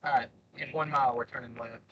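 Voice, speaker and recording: A man's voice: medium pitch, fairly even intonation, fast talker, highs cut off, a little hiss or hum. A young man chatting casually and joking with passengers while driving, hosting a storm chasing livestream. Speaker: storm chaser host. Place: inside a car.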